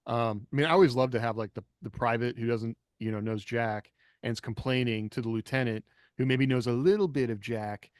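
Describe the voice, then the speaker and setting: A man's voice: medium pitch, fairly even intonation, brisk, no echo. Chuckling man chatting loosely, dry close sound. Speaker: podcast co-host and writer. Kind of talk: casual rambling panel discussion.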